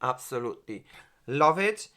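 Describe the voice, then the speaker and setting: A man in his forties, a beauty vlogger reviewing makeup, who gushes and exclaims often and speaks in halting phrases with many restarts. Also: mid-range voice, sing-song, sharp tone. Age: 40s. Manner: gushing, exclaims and laughs often. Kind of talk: product review and makeup tutorial.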